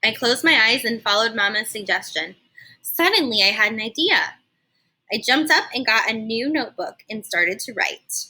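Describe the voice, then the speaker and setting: A woman reading a children's story aloud like a teacher, animated and explaining things simply for young listeners. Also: on the high side, moderate pace, rough recording. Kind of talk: expressive children's read-aloud with explanatory asides.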